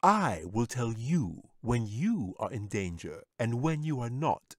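A man's voice: medium pitch, very animated, slow, dry recording, clean studio sound, pitched above the performer's own voice. A man voicing a smug, haughty male wizard ruler who speaks in a condescending, imperious way.

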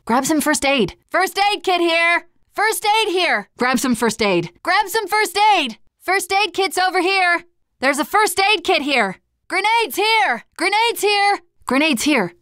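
A woman's voice: high voice, sharp tone, dry recording, clean studio sound, heavily acted. An actress playing a tough young woman surviving a zombie apocalypse in a video game, giving short, punchy callouts. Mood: urgent, alert, then matter-of-fact.

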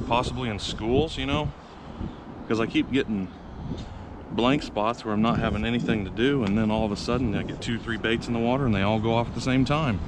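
A man with a fairly low voice narrates his fishing outdoors to a camera, casual and chatty. He bursts into loud, excited cheers whenever a fish bites. The outdoor recording is windy, with clear background noise. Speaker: fishing vlogger. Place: outdoors by urban water, windy.

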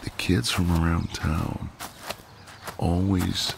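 A man playing a friendly, gallant, gently teasing ex-marine neighbour in an intimate, easygoing one-sided roleplay. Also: low voice, little pitch movement, slow, gravelly, background noise, heavily acted. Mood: friendly, chatty. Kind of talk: intimate ASMR roleplay, one side of a conversation.

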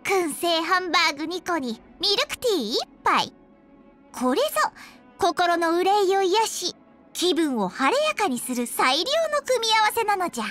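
High, childlike little dragon girl healer. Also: expressive, normal speed, a bit bright, faint music behind. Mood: proud, earnest. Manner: archaic elderly-style speech from a childlike voice, self-important. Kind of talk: acted video game character voice lines.

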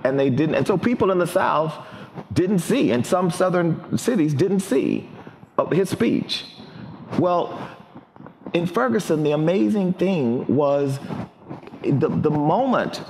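A middle-aged man speaking as a panelist with deliberate emphasis, through a headset mic on a conference stage. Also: on the high side, expressive, vocal fry, a little mellow. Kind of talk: panel discussion. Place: large banquet hall on stage. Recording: long hall reverb, faint noise.

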